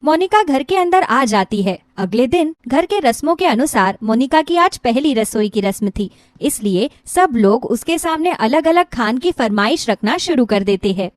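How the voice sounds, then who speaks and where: Female storyteller: high, expressive, animated scripted narration for moral tales. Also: normal speed, no echo.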